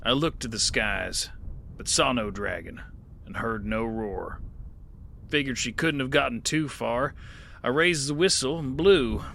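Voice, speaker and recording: A weary frontier gunslinger telling his own story. His slow, bright, forceful voice is dry and laconic, with faint noise under it. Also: medium pitch, vocal fry. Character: weary male gunslinger narrating his tale. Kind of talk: first-person audio drama narration.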